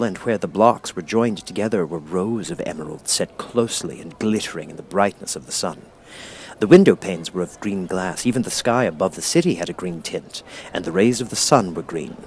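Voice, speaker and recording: A man reading scripted storybook narration as an audiobook narrator, in measured, clear phrases. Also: on the low side, a little mellow, slight background noise.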